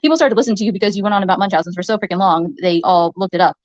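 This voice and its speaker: A woman with a soft voice, rambling hesitantly in a clip from a livestream. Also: medium pitch, rapid-fire, no echo, dull audio. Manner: hesitant, many fillers and restarts.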